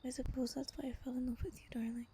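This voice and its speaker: A woman playing an ancient, wistful vampire lover in a soft, breathy ASMR roleplay, telling her tale slowly and intimately. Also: sits high, level tone, a little hiss or hum, close to an everyday voice. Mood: fond, tender. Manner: gentle, slow, intimate storytelling.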